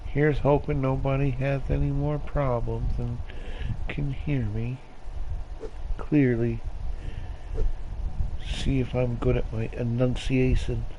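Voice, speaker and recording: A man's voice: mid-range voice, flat delivery, slow pace, pauses often, dark tone, lo-fi sound. A middle-aged man rambling solo over gameplay, self-deprecating and given to muttered asides. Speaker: gaming streamer. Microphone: home gaming mic turned up. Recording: noisy home microphone with turned-up gain.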